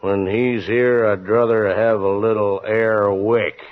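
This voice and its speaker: A man's voice: fairly low pitch, flat delivery, slow. An actor playing a grumbling hillbilly farmer father with a dry rural Southern drawl in an old-time radio comedy. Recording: tinny, narrow vintage radio recording.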